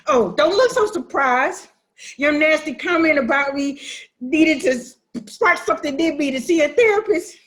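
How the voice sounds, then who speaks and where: A woman's voice: sits high, expressive, normal speed, fairly bright tone. A woman acting a hurt, defiant, sassy sister who makes emphatic accusations in a dramatic play reading. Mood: indignant, proud. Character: wounded, defiant younger-minded sister confronting her sibling.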